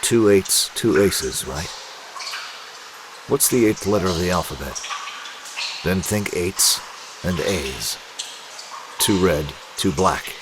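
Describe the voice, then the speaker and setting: An actor playing a brooding, obsessive masked vigilante who is unraveling, in an intense, urgent comic-book drama delivery. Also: male voice, low voice, lively intonation, slow pace, pauses often, noisy background. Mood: urgent, insistent.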